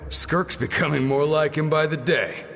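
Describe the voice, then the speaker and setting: An admiring young disciple from a video game. His mid-pitched, creaky voice swings with excited emphasis. It rings in long reverb over music. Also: moderate pace. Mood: matter-of-fact admiration.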